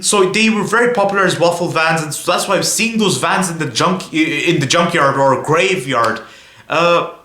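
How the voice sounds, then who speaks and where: A young man from Belgium gives a casual vlog monologue about cars. He talks in a chatty, rambling way and keeps calling out to his viewers. His voice is bright and a little high, and it rings in a roomy space. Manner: chatty and rambling, often says "guys". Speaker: car vlogger.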